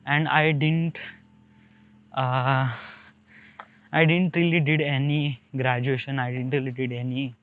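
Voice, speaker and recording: Through a thin, phone-line-like recording comes a young man with an Indian accent. His voice sits fairly high for a man. He talks in a casual, wandering monologue, often hesitating and repeating words as he looks for the next one. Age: young adult. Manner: hesitant, repetitive, searching for words. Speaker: solo podcaster. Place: outdoors beside a highway, walking.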